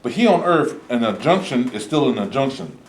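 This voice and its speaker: A man's voice: medium pitch, animated, a little fast, slight background noise, close to an everyday voice. A man playing a cautious, principled protest movement leader in a staged reading, speaking formally and earnestly. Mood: earnest caution. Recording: echoey room, live staged reading.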